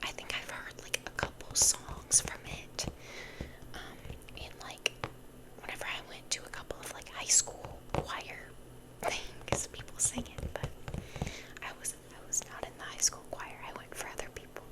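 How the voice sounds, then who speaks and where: A woman's voice: normal speed, low-quality recording. A young woman hosting ASMR, rambling casually in a whisper and repeating words and phrases for effect. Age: young adult. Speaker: ASMR video host.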